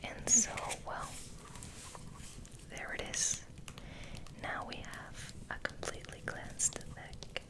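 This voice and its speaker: A woman host giving a gentle, soothing ASMR ramble in a breathy whisper, softly repeating words. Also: takes it slowly, long pauses.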